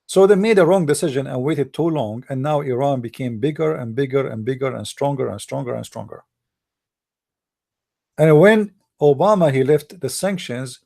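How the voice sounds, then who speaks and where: A man whose first language is Arabic, giving a heated casual monologue, often pausing and searching for words. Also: mid-range voice, warm tone. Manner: halting, searching for words, many fillers; heated, blunt opinions. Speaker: commentator.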